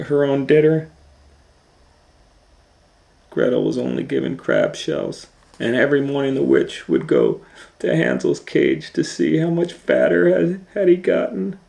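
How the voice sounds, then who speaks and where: A man reading a children's storybook aloud as host, with expressive, drawn-out storytelling. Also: mid-range voice, creaky. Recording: roomy, echoing indoor sound.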